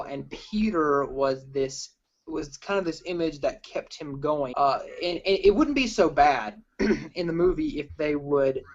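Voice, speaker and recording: A man hosting a casual group film discussion, talking in a halting, rambling way. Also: a little high, darkish tone. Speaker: film review host. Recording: dull lo-fi sound.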